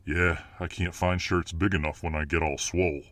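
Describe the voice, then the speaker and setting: Man acting a deep-voiced muscular fireman. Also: fairly even intonation, normal speed, a bit bright, dry recording. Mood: modest pride. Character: huge muscular alpha fireman, confident, modest hero. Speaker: voice actor in audio roleplay. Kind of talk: ASMR roleplay acting.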